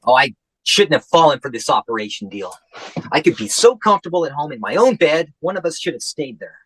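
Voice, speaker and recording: Cranky hollering husband, old-time radio comedy, lively pitch. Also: male voice, brisk, husky, rough recording. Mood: grumbling. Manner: exasperated, complaining, hollering. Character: grumpy sleepy husband in hospital. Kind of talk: comic radio play acting.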